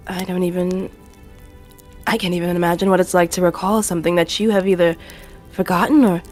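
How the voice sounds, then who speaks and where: A gentle male companion in a fantasy audio drama, played by a woman. He speaks with halting, earnest care and often stumbles as he looks for words. Faint music plays behind him. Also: mid-range voice, close to an everyday voice.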